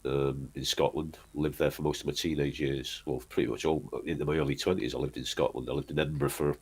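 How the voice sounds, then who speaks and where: A middle-aged man from Manchester, telling long rambling stories from his life in a relaxed chat, with lots of restarts. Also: extremely low pitch, quick pace, breathy edge, faint music behind. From Manchester, England. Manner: rambling storyteller, restarts often, chuckles. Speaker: guest, band singer. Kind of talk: casual interview chat.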